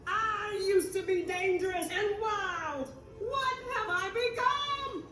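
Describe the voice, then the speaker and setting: A woman playing a once fearless, now frazzled manticore with a dramatic, distraught manner in an animated film. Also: very high voice, slow pace, echoey room, recorded from a distance, soft background music, exaggerated voice. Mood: anguished. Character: once fearless female manticore turned frazzled restaurant manager.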